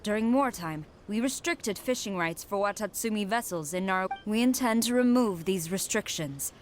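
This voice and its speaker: A woman's voice: mid-range voice, lively intonation, normal speed, faint music behind, close to an everyday voice. Stern, formal female general, acted by a woman. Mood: stern, businesslike. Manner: formal, direct, authoritative. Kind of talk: scripted video game voice acting.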